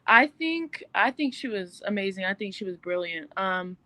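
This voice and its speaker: A woman's voice: mid-range voice, animated, normal speed, bright, forceful tone, dry recording. A young woman from New Mexico, a student guest, giving earnest, reflective interview answers with some fillers and restarts. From New Mexico, USA. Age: young adult.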